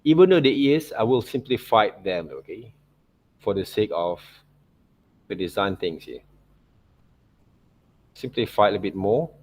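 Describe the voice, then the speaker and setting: A man narrating an informal art software tutorial as a teacher, in loosely phrased non-native English, often checking in with short tag words. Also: lowish voice, animated, measured pace, pauses often. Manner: repeats check words like okay and right.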